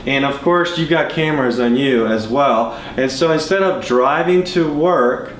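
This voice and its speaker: A man walks through his thinking at a whiteboard, restarting sentences and drifting into asides. His voice sits at an ordinary middle pitch. The studio room gives a noticeable echo over a faint hiss. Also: a little slow. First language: Serbo-Croatian. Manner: loose, rambling explanation with many restarts and asides. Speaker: entrepreneur explaining ideas. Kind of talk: whiteboard talk to camera. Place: indoor studio in front of a whiteboard.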